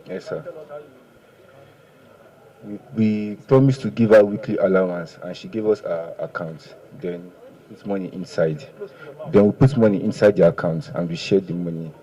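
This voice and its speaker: A man's voice: deep voice, normal speed, mellow. A man in his twenties with a Nigerian accent, reciting a confession deferentially and repeating himself. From Ogun State, Nigeria. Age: 20s. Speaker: paraded fraud suspect. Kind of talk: public confession, reciting personal details. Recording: dull, muffled audio.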